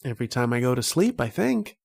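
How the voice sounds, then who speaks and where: A man playing a dreamy, insistent young boy in an old-time radio drama, speaking in a halting, earnest, childlike way. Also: mid-range voice, sing-song, gravelly, darkish tone, husky, heavily acted.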